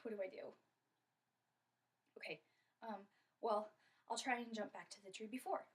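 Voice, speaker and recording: A woman's voice: mid-range voice, moderate pace, recorded from a distance, lightly acted. A woman voicing a bold, boastful young girl in a dramatically told story, given to exclaiming. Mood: anxious.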